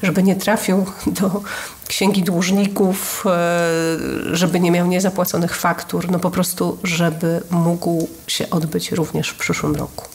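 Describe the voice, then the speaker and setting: Low gravelly-voiced woman podcast host. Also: flat delivery, unhurried. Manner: earnest, with many hesitations. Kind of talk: casual conversation about culture.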